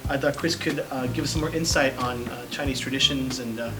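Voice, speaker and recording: A man's voice: medium pitch, fairly even intonation, brisk, poor audio. Guy hosting a chill chat, lots of uh's, music playing behind. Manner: hesitant, many fillers and restarts. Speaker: host. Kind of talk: casual chat.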